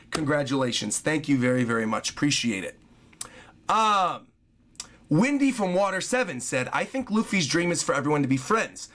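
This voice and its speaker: A man chats casually on a livestream, his voice hoarse and scratchy from overuse. He sits fairly high for a male voice, thanks viewers one after another and keeps apologizing. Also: a bit bright. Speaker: streamer reading viewer donations.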